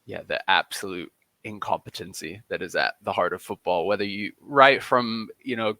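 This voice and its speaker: A man's voice: fairly low pitch, normal speed, pushed and bright, dry recording. A man from Kenya answering questions as a podcast guest, chatty, informal and a little self-deprecating. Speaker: podcast guest, football journalist. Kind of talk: casual, conversational interview answers.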